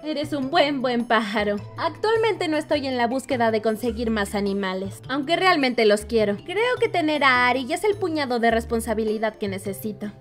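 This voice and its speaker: A woman voicing an excitable young animal-obsessed animator, telling childhood stories in an expressive, playful cartoon fandub narration. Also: fairly high pitch, normal speed, few pauses, soft background music, close to an everyday voice. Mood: upbeat nostalgic. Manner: expressive, playful, comically dramatic.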